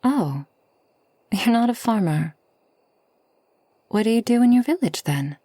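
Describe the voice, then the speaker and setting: A woman playing a kind, long-lived forest witch in a soft ASMR roleplay, gentle and unhurried, often near a whisper. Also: a little high, expressive, dry recording. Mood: curious, tender. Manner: gentle, unhurried, soothing, slightly formal speech.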